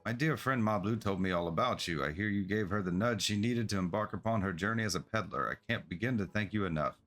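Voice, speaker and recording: A man's voice: fairly low pitch, fast, a bit bright, natural voice. A man reading the part of an eager, polite merchant in a game, with effusive, formal sales patter. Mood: eager, persuasive. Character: eager, polite merchant founder of a travel agency. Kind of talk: game quest dialogue read aloud. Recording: music behind the voice.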